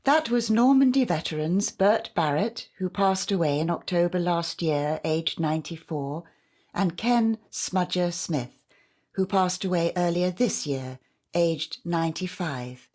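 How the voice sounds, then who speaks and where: A woman's voice: lowish voice, measured pace, darkish tone. A woman narrating a scripted introduction to an audio play as its announcer.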